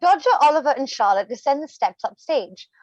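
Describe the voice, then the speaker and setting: A woman's voice: sits high, expressive, a little fast, darkish tone, dry recording. A woman narrating stage directions in a scripted play reading, recorded on slightly dull livestream audio.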